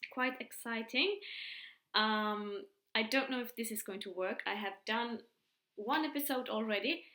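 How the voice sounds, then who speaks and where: A woman's voice: on the high side, pushed and bright, echoey room. A middle-aged woman from Finland with a Finland-Swedish accent, hosting a casual podcast chat in English, hesitant, with many fillers and restarts. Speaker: podcast host. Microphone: computer mic.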